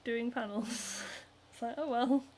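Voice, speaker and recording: Chatty Northern English woman, higher voice. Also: steady tone, unhurried, darkish tone, slight background noise. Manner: chatty and giggly, many ums and likes. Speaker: cosplayer and livestream host. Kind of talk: casual livestream chat, reading viewer comments. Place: home, hand sewing while streaming.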